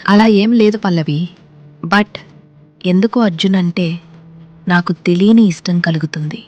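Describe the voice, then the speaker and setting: A woman's voice: lowish voice, unhurried, a little mellow, lo-fi sound, faint music behind. A woman playing a rich, lonely young woman in love, in a cartoon story. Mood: shyly smitten.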